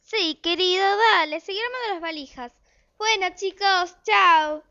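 A woman's voice: very high-pitched, measured pace, bright, forceful tone, heavily acted. A woman with an Argentine accent playing a bossy, harried, thrifty mother of two in an amateur radio play. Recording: dull, lo-fi recording.

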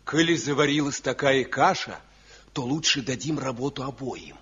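An actor playing a shrewd, pragmatic village craftsman in a Soviet radio play, husky and folksy. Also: medium pitch, moderate pace, a bit bright. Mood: shrewd, brisk. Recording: dull, muffled 1970 radio play recording.

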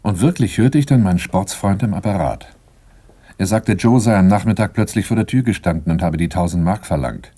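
A sober crime-drama narrator, telling his own story in a very low register. The tone is dark and soft-edged, the delivery matter-of-fact and restrained. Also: a little fast. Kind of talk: radio drama first-person narration.

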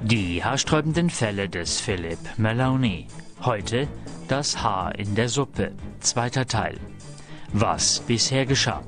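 A man announcing a radio play and reading a scripted recap. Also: mid-range voice. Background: prominent music.

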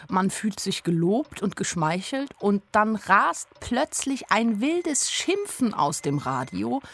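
Young naive narrator in a radio drama, mid pitch, wry, candid, a little anxious. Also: female voice. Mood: anxious musing. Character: naive, anxious young woman telling her story. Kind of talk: radio play first-person narration.

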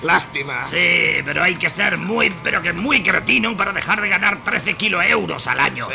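An actor playing a gloating, contemptuous advertising villain in a satirical radio play, with a gravelly, sneering, drawn-out delivery. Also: male voice, high-pitched, animated, pushed and bright, soft background music, full character voice. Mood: smug, wistful. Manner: sneering, drawn-out, punctuated by cackles. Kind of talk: satirical radio drama acting.

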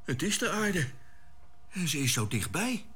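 A man's voice: fairly high pitch, sing-song, a little slow, bright, forceful tone, breathy edge, exaggerated voice. An actor playing a naive, plain-spoken spaceship crewman in a science fiction radio drama, full of exclamations and wondering questions. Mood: amazed, puzzled.

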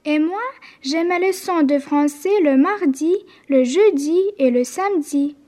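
A woman's voice: high voice, a little slow, dark tone. A woman playing a polite, eager young schoolgirl who carefully recites her lines in a scripted bilingual radio language lesson. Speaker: pupil character in language programme.

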